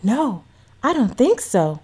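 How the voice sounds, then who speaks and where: Woman acting little girl, storybook character, earnest, trusting, high pitch, very animated. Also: takes it slowly. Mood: anxious. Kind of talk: children's storybook read-aloud.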